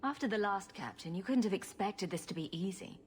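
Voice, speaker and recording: An actress playing a wry, sarcastic adventurer heroine with a dry, joking manner in a fantasy game. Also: female voice, medium pitch, animated, brisk, slight background noise, lightly acted. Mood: dry, amused.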